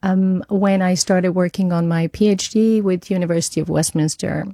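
A woman from Bulgaria in her forties, speaking as a guest in a dry studio. Her voice is mellow and gravelly, fairly low. She hesitates and restarts often as she talks. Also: fairly even intonation, normal speed, no echo. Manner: hesitant, many fillers and restarts. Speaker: guest, educational technology consultant. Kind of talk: radio interview. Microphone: studio mic.

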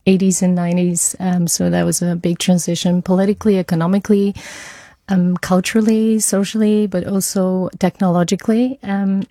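A woman's voice: fairly low pitch, little pitch movement, moderate pace, mellow, no echo, clean studio sound. A woman from Bulgaria, a guest on a radio news programme, talking at length with many fillers and restarts. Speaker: guest, educational technology consultant. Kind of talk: radio interview. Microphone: studio mic.

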